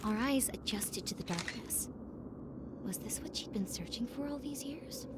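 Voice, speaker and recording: A woman playing a reflective young woman who narrates her journey in a measured, wistful storybook style. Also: on the high side, lively intonation, very noisy, close to an everyday voice. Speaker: narrator and protagonist. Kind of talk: scripted first-person storybook narration.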